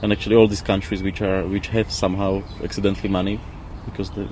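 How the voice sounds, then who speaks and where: A man talks reflectively in English. He feels for his words and repeats them as he goes. Birds and traffic are clearly audible around him. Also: deep voice, little pitch movement, darkish tone. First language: not English. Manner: halting, searching for words with repetitions. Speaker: interview guest, former architect. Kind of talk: casual reflective discussion. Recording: noisy outdoor ambience with birds, traffic.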